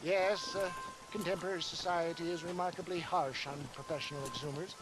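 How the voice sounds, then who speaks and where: Man playing a florid, high-voiced Western salesman. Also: steady tone, brisk, lightly acted, poor audio. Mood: jovial, pompous. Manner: pompous, wordy, chuckling. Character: florid, jovial male snake-oil salesman. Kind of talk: video game cutscene acting. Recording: clear outdoor noise.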